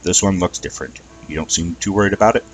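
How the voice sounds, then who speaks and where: Shy storybook mare, read by a man, polite and hesitant, low voice over faint music. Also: quick pace, natural voice. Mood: nervous, apologetic. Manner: timid, hesitant, apologetic. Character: shy, gentle, polite young female pony.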